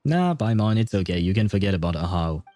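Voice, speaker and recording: A man's voice: low voice, very animated, quick pace, mellow, no echo. A man livestreaming a video game, chatting casually and rambling in an informal way. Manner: informal, rambling, mild swearing. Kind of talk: casual livestream chat and commentary. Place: indoor streaming setup, dry. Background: faint game music.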